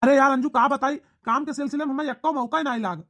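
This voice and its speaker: A man's voice: very high-pitched, fairly even intonation, fast, fairly bright tone. A man voicing a proud, defensive young husband in a village cartoon, protesting and making excuses with an Awadhi accent. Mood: placating. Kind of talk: rustic cartoon comedy dialogue.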